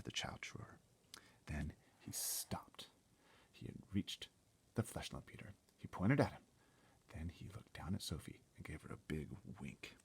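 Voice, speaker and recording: A man's voice: low voice, creaky, breathy edge. A man reading a children's story aloud as dramatic narration, theatrical and suspenseful, often dropping to a whisper. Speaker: storybook reader.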